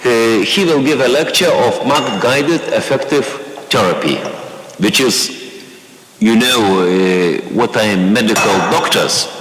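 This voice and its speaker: A man's voice: lowish voice, a bit bright, slight background noise. A man, an English speaker, giving a formal welcome as he introduces a guest lecturer, hesitating often with fillers. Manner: halting, many fillers and restarts. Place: large lecture hall. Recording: echoey hall, long reverb.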